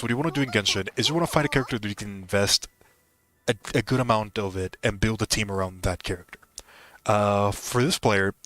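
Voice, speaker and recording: A man's voice: fairly low pitch, a bit bright. A man streaming a video game, talking casually and explaining strategy, often rephrasing his points. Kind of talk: casual game commentary and advice.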